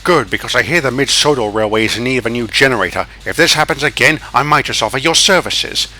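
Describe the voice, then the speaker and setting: A man's voice: mid-range voice, pushed and bright, pitched above the performer's own voice. A man voicing a stern middle-aged railway boss who firmly reprimands his engines. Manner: firm, measured scolding.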